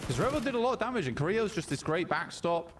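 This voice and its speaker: A man's voice: high voice, sing-song, fast, noisy background. A man giving analytical color commentary on a live esports match, explaining team form and map positions.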